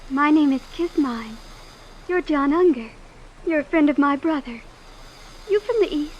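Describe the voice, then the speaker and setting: A woman's voice: high voice, animated, unhurried, lo-fi sound, a little hiss or hum. A woman playing a self-assured, sheltered teenage rich girl with artless candor, in old-time radio drama style. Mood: sweetly curious. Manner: artless, matter-of-fact candor.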